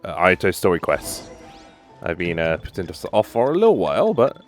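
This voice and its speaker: A man's voice: low voice, wildly swooping pitch, a little fast. A man giving casual, off-the-cuff commentary as he plays a video game. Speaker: gaming YouTuber. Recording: voice-over with faint game music.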